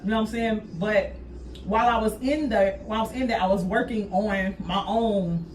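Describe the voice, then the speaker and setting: A woman's voice: mid-range voice, moderate pace, a crowd in the background. Woman talking emphatically in an echoey room. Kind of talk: casual conversational podcast talk. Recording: echoey room, distant mic, faint noise.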